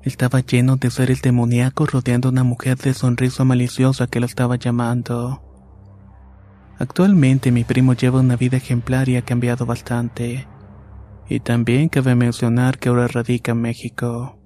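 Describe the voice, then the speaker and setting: Male horror narrator, dark and mellow timbre, monotone and steady, faint music bed. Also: mid-range voice, normal speed. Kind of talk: scripted horror story narration.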